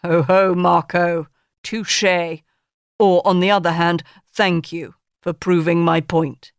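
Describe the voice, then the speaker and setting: A woman's voice: on the low side, level tone, takes it slowly, clear, resonant tone, dry recording, studio quality. A woman voicing a pompous, grandiose middle-aged male tycoon in an audiobook, speaking in a declaiming, orotund manner.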